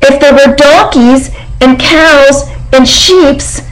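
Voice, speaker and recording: A small female piglet from a children's storybook, acted out by a woman reader. The voice is pitched well up, plaintive and stubborn, pressing each point with pleading insistence. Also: takes it slowly, rough recording. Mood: insistent. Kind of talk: storybook character reading.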